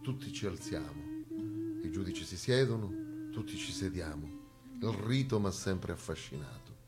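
A man performing a theatrical first-person monologue, telling a story dramatically, moving between hushed and emphatic delivery. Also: low voice, huge pitch swings, moderate pace, loud music behind the voice. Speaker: stage actor and monologist.

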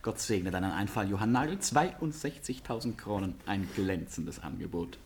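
Radio drama: odd, restless stranger around thirty, theatrical, erratic, very animated pitch. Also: male voice, moderate pace, slight background noise. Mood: gleeful, mocking. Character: eccentric, restless male stranger of about thirty. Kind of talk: German radio play acting.

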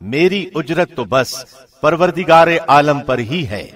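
A man narrating a scripture translation in a formal, measured, solemn announcer's delivery. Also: fairly high pitch, lively intonation, a bit bright.

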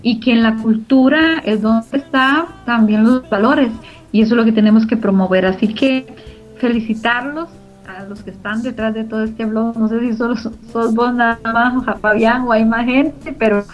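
High-voiced Costa Rican woman, muffled recording. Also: fairly even intonation, normal speed. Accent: Costa Rican Spanish. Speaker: interviewee, co-founder of a community radio organization. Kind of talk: conversational interview answers. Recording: muffled lo-fi audio with background music.

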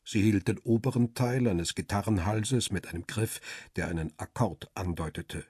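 An actor playing a paparazzo who narrates his own story in a radio crime play, measured, observant and descriptive. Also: lowish voice, darkish tone, husky, no room sound, clean studio sound. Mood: detached, precise. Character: world-weary male paparazzo recounting his story, coolly observant. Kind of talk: scripted first-person radio drama narration.

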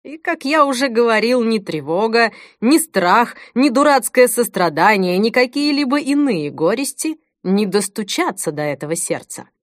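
A woman reading the part of a sly male tempter who coaxes slowly and smoothly. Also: a little high, lively intonation, dry recording, clean studio sound, close to an everyday voice. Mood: coaxing. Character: sly persuasive male tempter, coldly calm.

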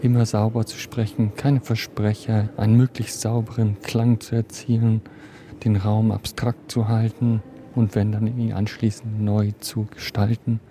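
Very mellow, even-toned man in an interview. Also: lowish voice, a little slow. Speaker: interviewee on studio sound production. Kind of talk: interview talk. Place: museum. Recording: faint background noise, museum ambience. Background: faint ambient noise.

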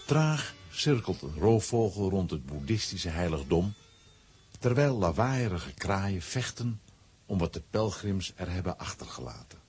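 Deep, very husky male storyteller. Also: big pitch swings, unhurried, a little mellow, faint music behind. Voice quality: very husky, rough. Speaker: narrator. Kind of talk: scripted children's story narration.

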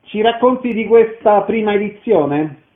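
A man's voice: high voice, animated, a little slow, phone-quality sound. A man hosting an arts radio interview, asking questions and introducing guests.

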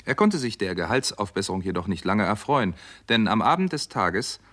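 An actor playing a sober American doctor who narrates a German radio crime drama in a measured, matter-of-fact way. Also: male voice, on the low side, very animated, fairly bright tone. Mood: sober, factual.

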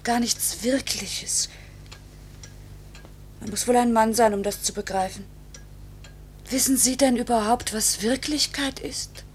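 An actress playing a pilot's anguished wife in an old radio drama, pleading earnestly with quiet bitterness. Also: female voice, a little high, steady tone, lightly acted. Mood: bitter. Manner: earnest, repetitive, measured phrases. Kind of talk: 1950s German radio play acting. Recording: faintly noisy vintage radio recording.

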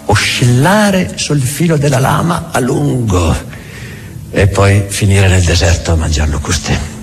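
An actor playing a world-weary, mocking devil in a theatrical radio drama monologue, brooding and sardonic, halting and then rushing. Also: male voice, fairly low pitch, music in the background, close to an everyday voice. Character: devil, vulgar world-weary mocking tempter musing on doubt.

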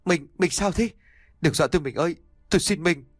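A man voicing a desperate aging husband who pleads, shouts and sobs over his dying wife. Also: lowish voice, expressive, moderate pace, dry recording, studio quality, faint music behind. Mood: frantic pleading. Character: desperate aging husband, grief-stricken and volatile.

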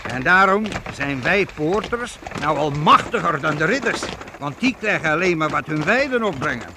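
An actor playing a proud, defiant master weaver who leads armed townsmen in a medieval radio play, assured and paternal. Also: male voice, fairly high pitch, lively intonation, moderate pace, noisy background. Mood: proud, instructive. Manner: assured, explanatory, addresses youngsters paternally.

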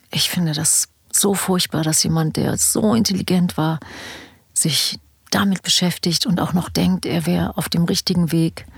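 A woman's voice: on the low side, creaky, husky. A woman hosting a podcast, giving personal commentary straight to the listeners. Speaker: podcast host.

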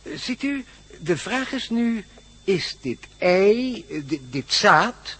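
A man's voice: high voice, very animated, slow, fairly bright tone, husky, full character voice. A male actor playing an enthusiastic scientist in a radio play, explaining things thoughtfully and with some hesitation. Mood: absorbed, explaining. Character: absorbed, enthusiastic male scientist examining mysterious seeds. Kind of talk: radio drama acting.

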